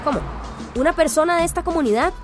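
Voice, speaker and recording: A woman's voice: sits high, fast, music in the background, natural voice. An actress playing a determined, outspoken young village woman in a radio soap opera. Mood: indignant. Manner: earnest, outspoken.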